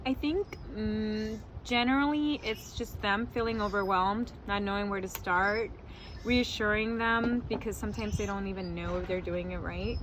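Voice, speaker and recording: A woman in her forties who was born in Mexico, talking through her story in a relaxed, candid way. Her pitch sits in a middle range, and she laughs readily and swears casually. The recording has clear background noise. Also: moderate pace. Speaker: wedding planner. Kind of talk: casual interview answers.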